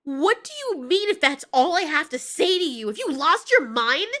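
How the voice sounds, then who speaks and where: A woman's voice: sits high, animated, unhurried, fairly bright tone. A woman voicing a distressed female giant moth monster in an audio drama, exclamatory, stammering and incredulous. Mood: outraged.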